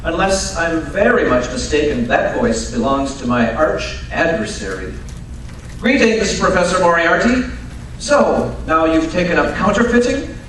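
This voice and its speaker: A man's voice: fairly high pitch, sing-song, unhurried, a bit bright. A man playing a confident, brilliant detective in a radio mystery, precise, unruffled and dryly commanding. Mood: cool, defiant. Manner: precise, authoritative, unruffled explaining. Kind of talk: old-time radio mystery acting. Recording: very echoey hall, distant mic, faint noise.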